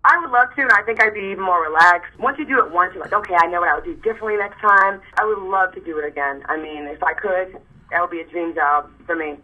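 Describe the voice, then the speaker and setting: A young woman with a raspy voice, tired from little sleep, chatting as an interview guest and rambling with many restarts. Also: on the low side, fast, sharp tone, lo-fi sound. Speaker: interview guest, model and pageant host. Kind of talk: casual chat.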